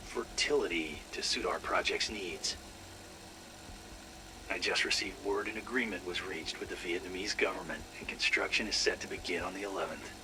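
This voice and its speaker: An actor playing a smug, ambitious, greedy scientist in a game audio log, speaking in a theatrical, pompous, sinister way. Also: male voice, fairly low pitch, noisy background, close to an everyday voice. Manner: theatrical, pompous, savoring his words. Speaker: scientist recording personal logs. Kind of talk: dramatic audio log monologue.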